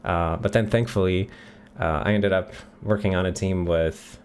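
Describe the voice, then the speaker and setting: Swedish-accented man, middle-aged, lowish voice, laid-back livestream host, close boom mic. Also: moderate pace, a little hiss or hum. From Sweden. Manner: relaxed, thinks aloud with many ums, reads chat questions out. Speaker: livestream host answering chat questions. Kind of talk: casual live Q&A. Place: home office, indoors. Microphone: studio mic on a boom near the face.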